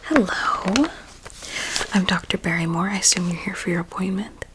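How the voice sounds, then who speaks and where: A woman playing a sinister, courteous doctor in an ASMR roleplay, mostly in a soft, breathy whisper with a measured, clinical manner. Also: on the low side, very noisy, lightly acted. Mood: polite, businesslike. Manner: slow, measured, clinical and politely formal.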